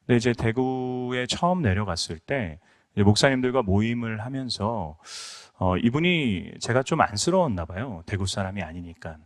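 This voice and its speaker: A man's voice: fairly low pitch, animated, normal speed. A middle-aged male pastor from Incheon giving a conference lecture, telling stories earnestly with many fillers. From Incheon, South Korea. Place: conference hall.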